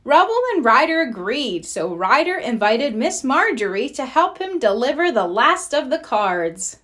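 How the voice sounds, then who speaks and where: A woman narrating a children's storybook aloud in an expressive read-aloud style. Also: sits high, unhurried.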